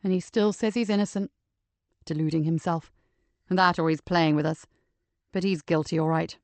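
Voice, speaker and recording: A woman voicing a calm, low male detective. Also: no echo. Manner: low, gentle and measured. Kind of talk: audiobook character voice.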